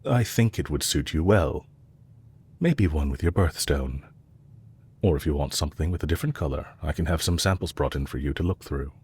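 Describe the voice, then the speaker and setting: A man playing a courteous, calculating villain leader in an intimate audio roleplay, speaking politely and with measured, softly teasing delivery. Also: a bass voice, slightly rough, heavily acted. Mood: playfully suave. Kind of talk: intimate scripted audio roleplay addressed to the listener.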